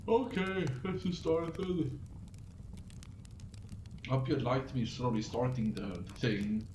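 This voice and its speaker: A man's voice: mid-range voice, a little mellow. Casual male streamer in an echoey room. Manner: halting, rambling, thinking aloud. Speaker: live streamer. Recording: roomy echo, some background noise.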